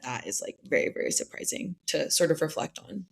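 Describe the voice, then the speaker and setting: Young woman, interviewee, lower voice, relaxed reflective answers peppered with "like.". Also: a little fast, vocal fry, husky. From United States. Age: young adult. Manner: thoughtful, many "like" fillers, light laughs.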